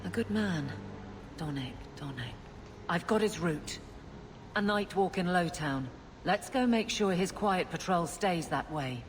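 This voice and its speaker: A woman's voice: fairly low pitch, lively intonation, a little hiss or hum. An actress playing a stern, principled city guardswoman in a fantasy video game, speaking firmly and with resolve. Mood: determined. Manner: firm, resolute, measured. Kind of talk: dramatic video game acting.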